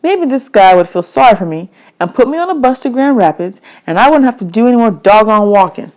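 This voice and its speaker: A woman reading a children's novel aloud as an expressive audiobook, with deliberate, emphatic storytelling. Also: a little high, rough recording.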